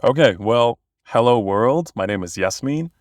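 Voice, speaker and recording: A man's voice: mid-range voice, expressive, a little slow, no room sound, clean studio sound. A young man from Bosnia, a guest in a podcast interview, telling his story with enthusiasm. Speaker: guest, copywriter.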